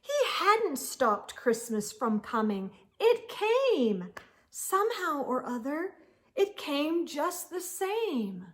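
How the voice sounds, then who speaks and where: High-voiced, unhurried woman storyteller. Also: very animated, a bit bright. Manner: dramatic, rhythmic, storyteller emphasis. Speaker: children's storytime host. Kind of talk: expressive read-aloud of a rhyming picture book.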